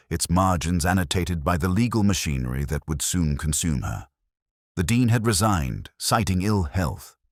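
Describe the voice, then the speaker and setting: Guy with a super deep voice reading a moody detective story, lots of rise and fall, dry close sound. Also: no room sound. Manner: measured, brooding, reflective delivery. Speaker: narrator. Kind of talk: scripted first-person detective narration.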